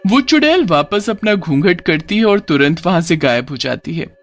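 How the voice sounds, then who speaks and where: A man's voice: on the high side, sing-song, normal speed, no echo. Male narrator for scripted moral tales: dramatic, very varied intonation, faint music bed. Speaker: story narrator.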